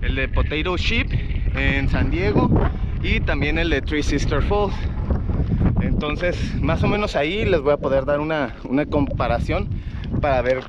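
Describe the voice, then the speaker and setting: Higher-pitched man vlogging in loud wind. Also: measured pace. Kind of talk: casual hiking vlog to camera. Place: outdoors on an open hillside trail. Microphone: action camera held at arm's length. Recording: muffled outdoor mic, loud wind noise.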